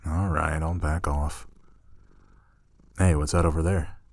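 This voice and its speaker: Deep, slow, tender boyfriend-style roommate acted by a man. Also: fairly even intonation, creaky, dry recording, heavily acted. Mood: intimate, playful. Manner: soft-spoken, tender, playful teasing. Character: affectionate, teasing male roommate gently pampering a shy catgirl. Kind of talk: intimate ASMR roleplay audio.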